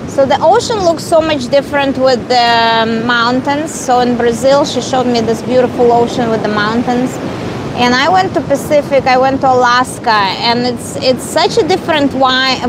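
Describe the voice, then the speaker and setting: Russian-native woman streamer on a windy beach, unhurried and chatty, heavy wind noise. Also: fairly high pitch. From Eastern Europe, Russian family. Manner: slow, rambling, reads and answers viewer comments. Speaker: live streamer. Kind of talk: casual live stream chat. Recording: loud wind and surf noise. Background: ocean waves and wind.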